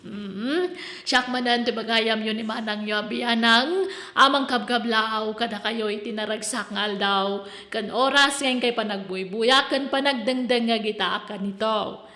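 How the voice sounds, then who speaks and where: A woman's voice: on the high side, steady tone, unhurried, sharp tone, roomy sound. A woman with an Ilocano accent hosting a drama show and reading a listener's letter as scripted narration. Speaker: drama host and letter reader. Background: music.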